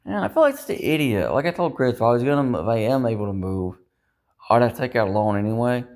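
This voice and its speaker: A man's voice: medium pitch, animated, fast, a little mellow. A man talking casually in a rambling monologue, second-guessing himself and ruminating, with some mild swearing. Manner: self-doubting, ruminating, mildly profane. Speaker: vlogger.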